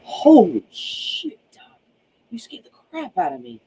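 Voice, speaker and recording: Woman reading as a lively, informal night guardsman. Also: medium pitch, slow, a little hiss or hum, voice pushed up. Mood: startled. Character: startled night guardsman, late thirties, brawny, gentle.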